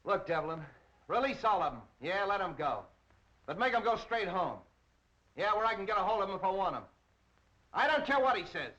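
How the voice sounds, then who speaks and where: A harried, bossy police captain from an old crime picture. He is gruff and brusque, with pitch that jumps around as he snaps out orders. The soundtrack is dull and dated. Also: sing-song, a little fast, long pauses, bright, forceful tone, heavily acted. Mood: exasperated, barking. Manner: brusque, barking orders in clipped slangy phrases. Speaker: actor. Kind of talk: 1930s crime film acting. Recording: dull 1930s film soundtrack.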